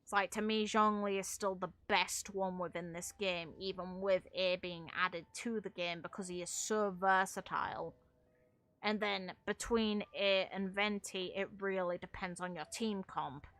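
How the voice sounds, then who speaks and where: Bright-voiced woman, casual gaming commentary. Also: medium pitch, steady tone, measured pace. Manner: opinionated, rambling, casual swearing. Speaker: game streamer.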